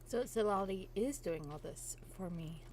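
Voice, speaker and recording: A woman's voice: medium pitch, lively intonation, unhurried, background noise. A woman streamer casually reacting to a game on a livestream.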